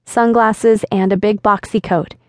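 A woman's voice: mid-range voice, fairly even intonation, a little slow, no echo, studio quality, close to an everyday voice. A woman narrator voicing a curious, flustered young woman in an audiobook, with an eager, slightly nervous delivery. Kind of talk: audiobook dialogue reading.

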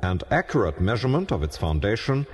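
An actor playing a learned physicist, giving precise, lecturing statements for an audio documentary. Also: male voice, on the low side, sing-song, fast, darkish tone. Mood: precise, assured. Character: learned male physicist explaining precise findings. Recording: long hall-like reverb.

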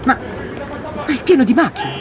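A woman's voice: high voice, animated, normal speed, a crowd in the background. An actress playing a worried, guilt-ridden mother in an audio drama, fretful and doubtful. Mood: uneasy. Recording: loud car and traffic noise.

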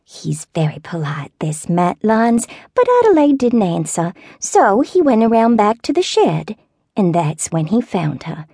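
A woman voicing a fussy elderly neighbor with a Southern accent, who talks in a slow, meandering, wavering falsetto. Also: very animated, vocal fry, warm tone, breathy edge, dry recording, studio quality, heavily acted. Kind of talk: audiobook character voice.

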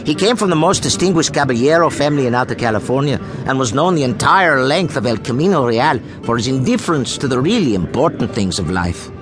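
A man as a lively, shrewd tavern keeper. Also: mid-range voice, a little fast, few pauses, over music, natural voice. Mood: narrating. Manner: deferential with sly, dry needling. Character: portly, shrewd, obsequious colonial tavern keeper and storyteller. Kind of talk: audio drama acting with narration.

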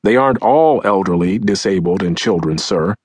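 A male narrator plays a stern city alderman in a nonfiction audiobook. The voice is husky and fairly low, formal and pointed, as if addressing a council chamber, yet recorded dry. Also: lively intonation, moderate pace, no room sound. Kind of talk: audiobook character quote.

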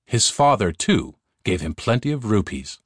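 A man's voice: lowish voice, very animated, normal speed, husky, no room sound, studio quality. A man narrating a fairy tale audiobook in a scripted storytelling style. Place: recording studio.

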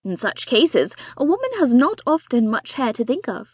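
A deadpan, weary husband with a dry, teasing wit, as a woman reads him aloud. The lines stay calm and understated, in a dry, close reading of a storybook. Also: on the high side, moderate pace, husky, dry recording. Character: dry, weary husband, a bookish father of five.

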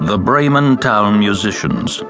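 A man reads a scripted fairy tale with music faintly behind him. His delivery is expressive, and his pitch rises and falls widely as he tells the story. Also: measured pace. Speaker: audiobook narrator.

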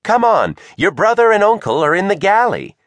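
A man's voice: high-pitched, big pitch swings, normal speed, dry recording, pitched above the performer's own voice. A man voicing a strong, hearty and commanding pirate mother in a children's storybook.

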